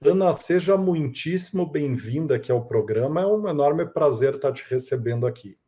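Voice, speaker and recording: Mellow-voiced Brazilian man hosting. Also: fairly high pitch, expressive, moderate pace. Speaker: podcast host, interviewer. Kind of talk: friendly interview hosting.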